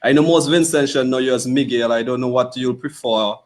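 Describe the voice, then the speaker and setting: A man's voice: medium pitch, fairly quick. A man hosting an interview podcast, talking in a casual, playful way with lots of "you know" and "okay". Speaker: podcast host.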